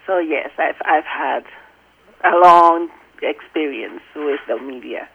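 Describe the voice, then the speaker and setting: Woman, middle-aged, earnest, measured, thoughtful pauses, tinny phone audio. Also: low-pitched, gravelly, slightly rough. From Puerto Rico. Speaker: language and accent consultant. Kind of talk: phone interview.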